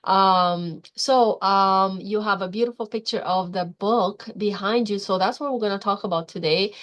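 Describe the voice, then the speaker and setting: A woman's voice: mid-range voice, normal speed, a bit bright. Woman hosting an interview podcast. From Europe. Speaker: podcast host.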